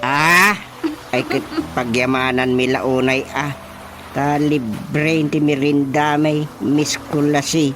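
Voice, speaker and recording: A man's voice: a little high, flat delivery, takes it slowly, background noise. A man acting a teasing, bumbling village official in an Ilocano radio comedy, stammering and exclaiming. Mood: flustered.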